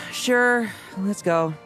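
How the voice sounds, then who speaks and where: A woman's voice: mid-range voice, drawn-out words, over music. A woman playing a playful, wry, nonchalant young fighter with a teasing drawl in an anime video game.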